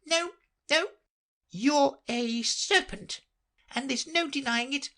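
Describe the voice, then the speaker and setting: Fretful, indignant storybook pigeon, shrill and high-pitched. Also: female voice, slow pace, bright, forceful tone, full character voice. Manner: shrill, accusing, sobbing complaints. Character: fretful shrieking female pigeon, indignant and suspicious.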